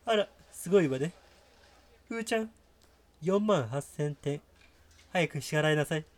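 A man's voice: on the high side, lively intonation, measured pace. A man voicing a prim, possessive, devout young girlfriend with coquettish feminine phrasing in a comedy radio drama. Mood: pleased, coaxing.

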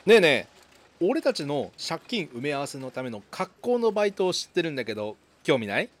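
A man's voice: a little high, sing-song, a little fast. A man playing an upbeat young university student who narrates and chats in an amateur radio drama. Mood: eager. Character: upbeat young male university student recounting summer memories.

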